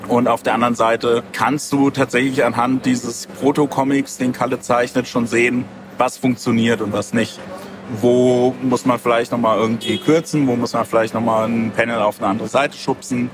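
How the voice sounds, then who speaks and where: A man's voice: mid-range voice, level tone, moderate pace. A man speaking as a guest author in a casual interview, colloquial and wry in the way he talks. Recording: clearly noisy, ambient background noise.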